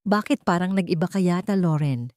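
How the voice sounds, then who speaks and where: A woman's voice: lowish voice, brisk, a little mellow, no echo, clean studio sound, close to an everyday voice. A woman voicing a smug, controlling, greedy husband in an audio melodrama, with a condescending manner. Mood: suspicious.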